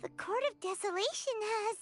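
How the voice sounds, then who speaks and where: A woman voicing a tiny, chirpy, excitable companion creature in a video game. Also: very high voice, moderate pace, over music. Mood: eager, startled. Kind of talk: scripted video game voice acting.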